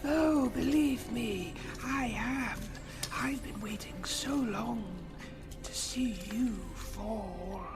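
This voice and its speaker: A woman's voice: a little high, music in the background. A woman playing a gloating, sadistic villainous jailer in an audio drama, savoring her words and dropping into whispers. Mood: gloating, menacing. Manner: slow, savoring, breathy whispers at phrase ends.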